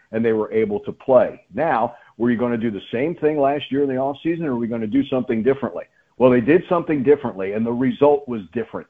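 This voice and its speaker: A man's voice: mid-range voice, breathy edge. A man giving sports radio analysis as a play-by-play commentator, emphatic, often repeating words and asking rhetorical questions. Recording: muffled phone-like radio line.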